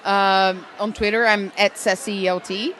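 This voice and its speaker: A woman from Brazil, answering interview questions enthusiastically at a teaching conference and pausing often on "uh". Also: mid-range voice, level tone, fairly bright tone, soft background music, poor audio. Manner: enthusiastic, with frequent "uh" pauses. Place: busy conference venue.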